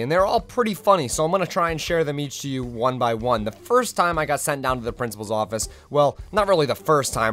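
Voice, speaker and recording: A young man, hoarse from losing his voice, telling his own school stories in a chatty, self-deprecating way as a video storyteller. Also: a little high, fairly bright tone. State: hoarse, recovering lost voice. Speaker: vlogger. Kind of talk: casual storytime monologue.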